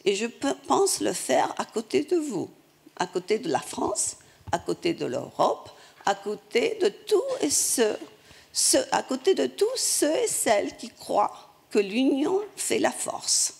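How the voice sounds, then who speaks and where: Gravelly slow woman interpreter. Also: on the high side, sing-song, darkish tone. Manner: measured, halting, with restarts mid-phrase. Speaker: simultaneous interpreter. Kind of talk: live conference interpretation into French.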